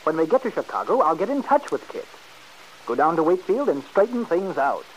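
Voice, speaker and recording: An actor playing an impatient, cold-blooded schemer in an old-time radio soap, brusque, exasperated and condescending. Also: male voice, a little high, sing-song, fairly quick, poor audio. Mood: irritated. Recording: hissy vintage radio broadcast recording.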